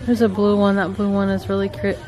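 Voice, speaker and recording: A woman's voice: mid-range voice, little pitch movement, a little fast, darkish tone, soft background music. A middle-aged woman, filming a chatty shopping vlog in a home decor store and gushing over cute items on a handheld camera. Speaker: vlogger. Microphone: handheld camera mic.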